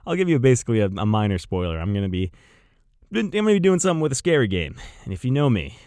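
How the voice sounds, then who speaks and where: A man's voice: mid-range voice, big pitch swings, fast, a little mellow, dry recording, studio quality. An American man hosting a game review channel, chatting casually and unscripted to viewers with gushing enthusiasm.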